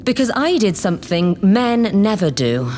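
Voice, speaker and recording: A woman's voice: mid-range voice, very animated, moderate pace, a little mellow, slight background noise, close to an everyday voice. A woman playing an imperious, condescending politician plotting to seize power in an audio drama. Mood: gloating, scheming. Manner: haughty, condescending, grandiose. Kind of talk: theatrical audio drama acting.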